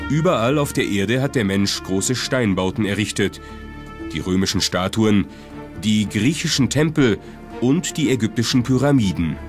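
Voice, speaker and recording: Male documentary narrator, lower register, clear music bed underneath. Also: expressive, moderate pace.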